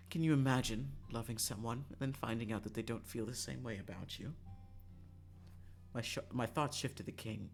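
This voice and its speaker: A man streaming a visual novel, reading the narration aloud in a dramatic storytelling style, with music behind his voice. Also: mid-range voice.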